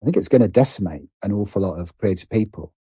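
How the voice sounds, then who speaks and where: Husky, mellow British man in conversation. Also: on the low side, animated, fast, no echo, studio quality. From Britain. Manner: hesitant, self-interrupting, often rephrasing. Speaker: guest, writer and producer. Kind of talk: reflective conversational interview.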